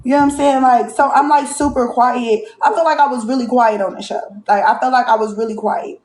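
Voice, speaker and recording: Reality show woman, raised pitch, blunt and profane, roomy clip with faint noise. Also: fairly even intonation, brisk, darkish tone. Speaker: reality show cast member. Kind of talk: casual confessional chat.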